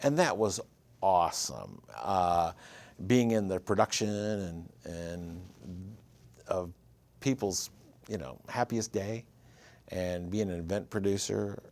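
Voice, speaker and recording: A man's voice: low-pitched, lively intonation, slow, darkish tone. A man in his fifties with a Michigan accent, telling his story easily as an interview guest. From Michigan, USA. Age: 50s. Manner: easygoing, storytelling. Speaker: guest, writer and producer. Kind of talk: casual interview chat.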